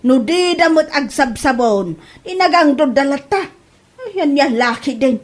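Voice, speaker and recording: A woman's voice: sits high, animated, a little slow. A woman playing a blunt, fussy, scolding mother-in-law with an Ilocano accent in a comedy audio drama. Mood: disgusted, complaining. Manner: nagging, blunt, complaining.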